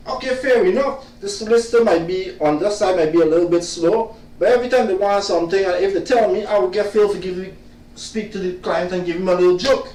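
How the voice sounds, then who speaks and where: A man complaining in a loud, insistent, repetitive way about the poor service from his solicitor, talking casually. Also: high-pitched, very echoey, recorded from a distance, slight background noise. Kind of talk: casual venting monologue.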